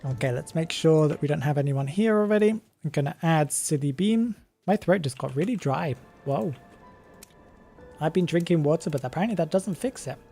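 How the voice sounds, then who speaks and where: Brief: British live streamer, relaxed and chatty, mid-pitched voice, soft music underneath. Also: moderate pace, husky. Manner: chatty, chuckles often, thinks aloud. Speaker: game streamer. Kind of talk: live-stream chat reading viewer comments.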